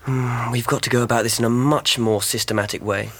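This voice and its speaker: A young man playing an eager, determined newlywed amateur sleuth in a radio drama, with an animated and decisive manner. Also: medium pitch, fairly quick. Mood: thoughtful. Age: young adult.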